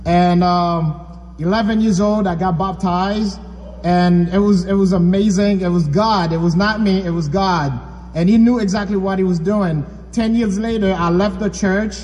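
A man's voice: high-pitched, fairly even intonation, moderate pace, a little mellow, in a large hall, a little hiss or hum. A man from Haiti preaching a sermon, talking conversationally and asking the audience questions. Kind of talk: church sermon.

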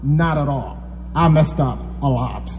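A man's voice: medium pitch, unhurried, a little mellow, in a large hall, highs cut off, slight background noise. A man from Haiti preaching a sermon, talking conversationally and asking the audience questions. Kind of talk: church sermon.

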